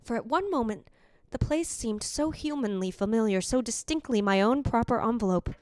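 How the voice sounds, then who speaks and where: A woman's voice: fairly high pitch, expressive, a little mellow, clear, resonant tone, no room sound, lightly acted. Actress as a wistful ghostly woman, close and dry. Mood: bewildered. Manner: measured, formal, literary. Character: wistful, fastidious ghost of a dead wife. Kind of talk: old-time radio drama monologue.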